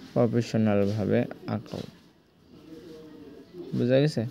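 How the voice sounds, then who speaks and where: A man's voice: mid-range voice, creaky, mellow, dull audio. A man who teaches cartoon animation talks viewers through a drawing step by step, repeating himself and checking that they follow. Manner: repetitive, coaxing, addresses viewers directly. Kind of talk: screen-recorded drawing lesson.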